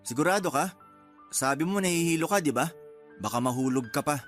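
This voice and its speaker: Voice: medium pitch, lively intonation, fairly quick, soft background music, lightly acted. An actor playing a guarded, protective young love interest in a radio drama, with a Filipino accent and restrained delivery. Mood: guarded, concerned.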